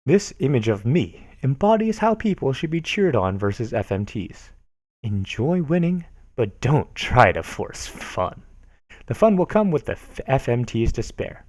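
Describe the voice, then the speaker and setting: A man hosting a stream, reading forum posts aloud with mock drama and lots of swearing, swinging from loud to soft. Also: mid-range voice, very animated, a little slow, no echo. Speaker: stream host.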